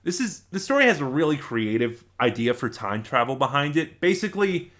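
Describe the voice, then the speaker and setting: A man's voice: medium pitch, big pitch swings, a little fast, a bit bright. Conversational man giving a casual review. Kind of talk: casual spoken audio drama review.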